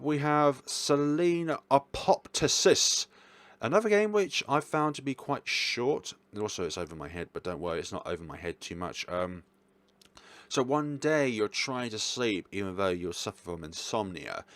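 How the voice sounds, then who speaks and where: A man's voice: mid-range voice, no echo. A man giving casual ranking commentary as a video host, rambling and often correcting himself as he goes. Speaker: video host reviewing games.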